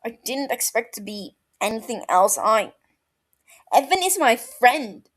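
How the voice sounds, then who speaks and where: A woman voicing an agitated, defensive young man in an audiobook, halting, heated and sharp. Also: a little high, big pitch swings, full character voice. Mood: confessing, upset.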